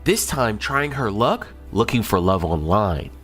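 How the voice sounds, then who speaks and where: Male TV narrator with an animated, varied delivery, reading a dramatic script over faint music. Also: lowish voice, unhurried.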